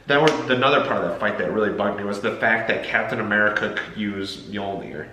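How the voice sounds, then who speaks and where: A man with a fairly low but bright, forward voice talks casually and opinionatedly. His speech is loose and conversational, full of filler words. The room echoes around him. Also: brisk. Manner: opinionated, filler-heavy with frequent "like". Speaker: co-host of a family video channel. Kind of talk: casual chat reviewing a film. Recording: roomy echo, faint background noise.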